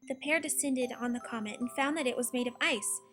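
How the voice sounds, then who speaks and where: A woman narrates a children's storybook in a high-pitched voice. She reads from the text as a bedtime-tale narrator, with music playing behind her. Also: brisk, a bit bright.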